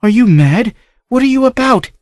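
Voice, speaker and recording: A man's voice: very high-pitched, animated, moderate pace, a little mellow, no echo, pitched above the performer's own voice. A man reading aloud, voicing an outraged young noblewoman who cries out shrilly at her uncle.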